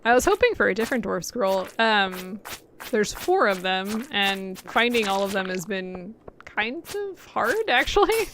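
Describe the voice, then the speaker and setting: A young adult woman streaming a game, chatting casually with lots of fillers, her voice getting hoarse after hours of talking. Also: medium pitch, very animated, a little slow, a bit bright, slight background noise. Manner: chatty, rambling, many fillers and laughs. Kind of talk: casual chat while gaming.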